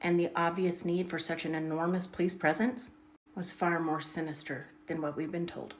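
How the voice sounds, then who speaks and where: A woman with a fairly low voice tells a story as an online commentator. She is emphatic and dramatic, repeating words to drive her points home. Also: flat delivery, normal speed, slight background noise.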